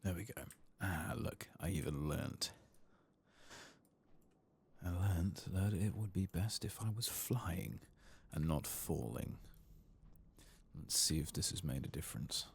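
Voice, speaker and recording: Male gaming ASMR host: deep, creaky, soft-spoken with some whispering, unhurried, dryly self-deprecating. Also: animated.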